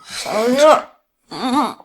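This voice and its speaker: A woman's voice: fairly high pitch, animated, fast, creaky, a little hiss or hum. An actress playing a frightened, vulnerable young woman in a screen drama, pleading and exclaiming. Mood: dazed, distressed.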